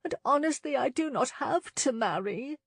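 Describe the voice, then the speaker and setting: A proud young gentlewoman on the edge of tears, put on by a woman reading a storybook aloud. Her voice sits high and lifted above the narration, with an altered, breathier timbre. Close and dry. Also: animated, normal speed, vocal fry, husky, dry recording, heavily acted. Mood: pleading. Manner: pleading, tearful and defiant. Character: distressed young English gentlewoman, proud and tearful.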